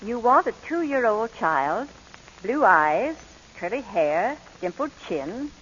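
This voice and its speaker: An actress playing a brisk, officious orphanage official in a 1940s radio drama. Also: female voice, a little high, very animated, a bit bright, a little hiss or hum, exaggerated voice. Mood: businesslike. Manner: crisp, officious. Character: brisk, exacting female orphanage official, businesslike. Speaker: adoption agency official. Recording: muffled, reverberant old radio broadcast.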